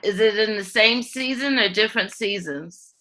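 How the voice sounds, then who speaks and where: Bright, friendly, curious woman teacher. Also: mid-range voice, normal speed, low-quality recording. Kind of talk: asking questions on a video call. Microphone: video call.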